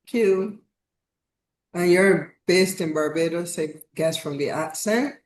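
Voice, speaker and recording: Slow-speaking woman hosting a video call. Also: lowish voice, darkish tone. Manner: slow, stumbles over names, apologetic. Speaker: host. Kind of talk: online meeting roll call.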